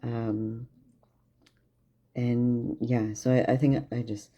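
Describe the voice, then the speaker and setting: A man's voice: mid-range voice, little pitch movement, slow, mellow. A tired young man talking candidly in a casual video diary, rambling with lots of fillers. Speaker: vlogger. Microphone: camera propped near a plant. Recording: dull lo-fi camera audio.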